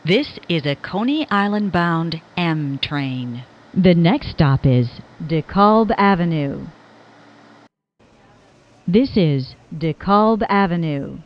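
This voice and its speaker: A woman with a slightly low voice delivers formal recorded subway announcements. Her pace is very slow and measured, each word clearly enunciated, with lively rises and falls in pitch. The recording sounds dull and lo-fi. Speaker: automated subway train announcer. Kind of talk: scripted transit announcement.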